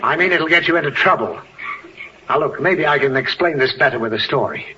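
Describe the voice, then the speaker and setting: High-voiced, blustering, exasperated dad played by a man. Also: animated, fairly quick, close to an everyday voice. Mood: lecturing. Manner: blustery, scolding, theatrical. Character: exasperated, blustering suburban father, easily riled. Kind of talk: 1940s radio comedy acting. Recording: muffled, hissy old radio broadcast.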